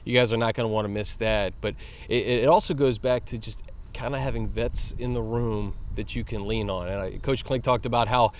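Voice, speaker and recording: A man's voice: lowish voice, steady tone, fairly quick, slight background noise. A man hosting a sports show, talking conversationally about team news. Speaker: sports podcast host.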